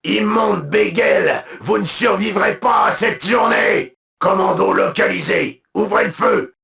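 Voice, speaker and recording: An actor voicing a proud, zealous alien military commander for a video game, with a gravelly voice and solemn, imperious, clipped delivery. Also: male voice, high-pitched, expressive, measured pace, sharp tone, raised voice, exaggerated voice. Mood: defiant, aggressive. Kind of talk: video game unit voice lines, declamatory.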